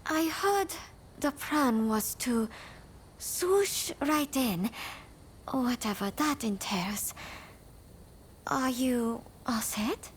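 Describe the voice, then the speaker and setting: A devoted, pleading woman of samurai times in a video game. Her voice sits high and she speaks slowly, with polite formality. A faint ambience lies behind her. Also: lively intonation, heavily acted. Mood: worried, hopeful. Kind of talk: video game cutscene acting. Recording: faint background noise and ambience.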